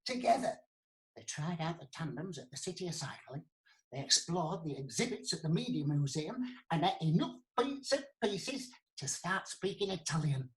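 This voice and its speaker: A man's voice: fairly high pitch, a bit bright, rough recording. A man telling a comic pantomime tale, dramatic and speaking straight to the listener. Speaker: storyteller. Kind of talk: theatrical comic pantomime storytelling.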